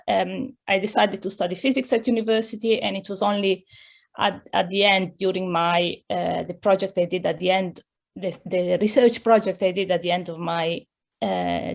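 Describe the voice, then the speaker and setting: Middle-aged Italian-accented woman, director on a video call, gravelly voice, hesitant, many fillers. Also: fairly low pitch, darkish tone. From Italy. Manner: careful, with many fillers and restarts. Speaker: director of a computing science centre, guest. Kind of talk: interview over video call. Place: home room.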